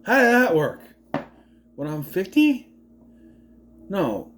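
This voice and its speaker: A man's voice: sits high, huge pitch swings, slow pace, fairly bright tone, slight background noise. A man in his late thirties giving a casual movie review, rambling, swearing freely and wandering off on tangents. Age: 30s.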